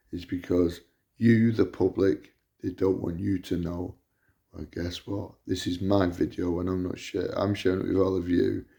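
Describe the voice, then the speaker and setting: Man, loud indignant rant, very low voice, dark tone. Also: creaky. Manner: repetitive, indignant, emphatic. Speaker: vlogger. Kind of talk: ranting monologue to camera.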